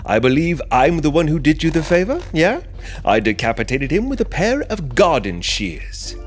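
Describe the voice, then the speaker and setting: Genteel supernatural murderer, wordy and blithely matter-of-fact, lively intonation, comedy horror audio drama. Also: male voice, a little high, normal speed, soft background music. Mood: blithely polite. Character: polite, chatty, fussy supernatural slasher killer on tea break.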